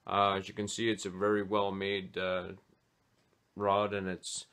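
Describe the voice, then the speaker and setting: A man in his forties talking casually to a webcam in a small home office, pausing often with "uh" between phrases as he explains his fishing gear. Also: deep voice, completely flat delivery, a bit bright, smooth. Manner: hesitant, many "uh" fillers. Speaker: fly fishing vlogger. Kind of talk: casual gear talk to camera.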